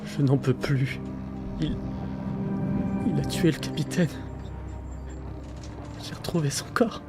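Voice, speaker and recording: An actor playing a frightened, isolated seaman in an audio drama, halting and anxious, repeating himself. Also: fairly high pitch, music in the background. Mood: weary dread. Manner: halting, anxious, breathy repetitions. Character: frightened, isolated young seaman keeping a logbook.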